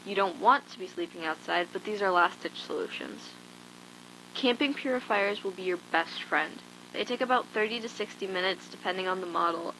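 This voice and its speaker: A woman's voice: on the low side, sharp tone, a little hiss or hum, poor audio. A woman playing a hardened, blunt zombie-apocalypse survivor, delivering a scripted survival log in an instructive, matter-of-fact way.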